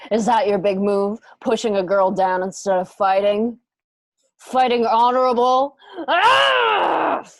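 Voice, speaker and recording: A woman's voice: fairly high pitch, animated, takes it slowly, no room sound. An actress playing a cocky, defiant young female warrior in a radio drama, brash and taunting. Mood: angry taunting.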